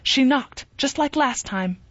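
Nervous fragile young woman, quavering pleas, higher than the narration; voiced by a female reader. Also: animated, unhurried, dry recording, highs cut off. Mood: anxious. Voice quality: quavering, pleading. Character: anxious, fragile young woman, easily upset. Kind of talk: audiobook character reading.

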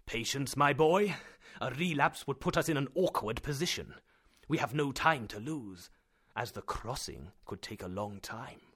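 A man voicing an affectionate older professor uncle in a storybook, with emphatic, deliberate, drawn-out phrasing. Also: mid-range voice, animated, fairly bright tone, slightly rough. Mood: firm, cautioning.

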